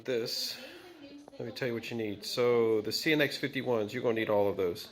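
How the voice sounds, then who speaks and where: A man's voice: mid-range voice, normal speed. Man thinking aloud through a parts list. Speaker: DIY video host. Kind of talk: casual how-to walkthrough. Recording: noisy background.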